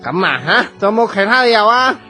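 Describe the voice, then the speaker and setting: Eccentric grand magic master, Hong Kong Cantonese, highly animated, theatrical interrogator, radio comedy over clear music. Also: male voice, fast talker, exaggerated voice. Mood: probing. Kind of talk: radio comedy drama acting.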